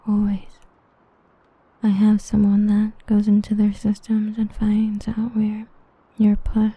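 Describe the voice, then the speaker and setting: A young woman voicing an obsessive, protective half-demon villain in a hushed, intimate ASMR roleplay whisper. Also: mid-range voice, husky, dry recording, dull audio. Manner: hushed, intimate, slow and brooding.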